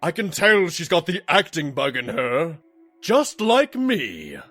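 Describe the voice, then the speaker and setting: A man's voice: fairly high pitch, big pitch swings, takes it slowly, a bit bright, no room sound, faint music behind, heavily acted. A man playing a vain, obsessive washed-up stage actor stallion, a theatrical and grandiose villain in a cartoon audio drama. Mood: bitter, grandiose.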